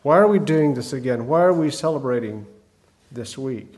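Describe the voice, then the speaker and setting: A man delivers a church sermon in a folksy, unhurried manner, pausing often with fillers. His voice is hoarse from a cold, a little crackly at times. His pitch sits in the middle for a man. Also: animated, a little mellow. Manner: folksy, hesitant with many fillers. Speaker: preacher.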